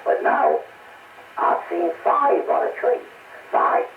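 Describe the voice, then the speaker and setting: An older man with a North East English accent chatting in a halting, chuckling way over CB radio. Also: reverberant. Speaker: amateur CB radio operator. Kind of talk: casual radio chat. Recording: noisy, narrow-band, distant CB radio transmission.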